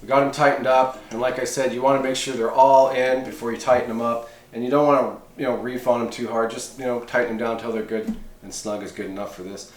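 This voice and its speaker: A man's voice: medium pitch, steady tone, fast talker. A man giving a casual hands-on how-to demonstration, talking in a folksy, rambling, conversational way. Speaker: host of a radio hobby how-to channel. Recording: roomy echo, clear background noise, clicks.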